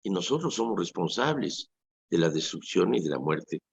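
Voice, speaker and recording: A man's voice: deep voice, big pitch swings, normal speed, gravelly, husky. A Mexican priest in his sixties preaching earnestly on a radio show, acting out quoted remarks as he goes. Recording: dull lo-fi radio recording.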